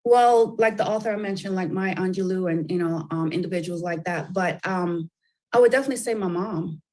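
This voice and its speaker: A woman's voice: fairly low pitch, a little fast, darkish tone. A middle-aged woman, a children's book author, talking as a guest in a radio interview, chatty and full of fillers and restarts.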